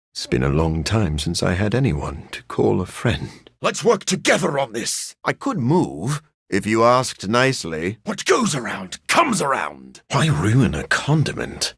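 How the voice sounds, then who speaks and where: An actor playing a sophisticated, bookish vampire scientist in a video game, speaking in an articulate, formal way with dry wit and a raspy edge. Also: male voice, on the low side, a bit bright, no echo, heavily acted. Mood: wry, reflective, then battle-ready. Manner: articulate, formal, dryly witty. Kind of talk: video game character voice lines.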